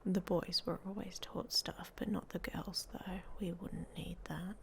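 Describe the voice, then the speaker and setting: A woman playing a guarded, hot-tempered young werewolf in an ASMR roleplay, speaking in breathy half-whispers with a hesitant, dryly blunt manner. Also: lowish voice, steady tone, unhurried. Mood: subdued, reflective. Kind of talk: ASMR roleplay audio story, intimate monologue. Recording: faint background noise.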